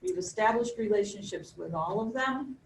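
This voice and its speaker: A woman from a local coalition asking a question in a group discussion, deliberately raising her voice and chatting. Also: mid-range voice, animated. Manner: raises her voice on purpose, chatty. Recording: echoey room, far from mic, faint hum.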